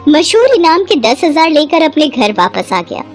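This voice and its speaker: High, lively female storyteller. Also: moderate pace, faint music behind. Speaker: narrator. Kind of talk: scripted moral-story narration.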